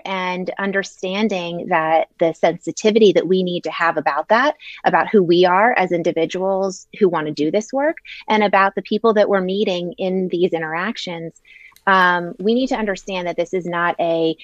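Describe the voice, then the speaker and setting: A woman in her thirties, a nonprofit leader, answering interview questions in an articulate, measured way. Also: fairly low pitch, dry recording, dull audio. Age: 30s.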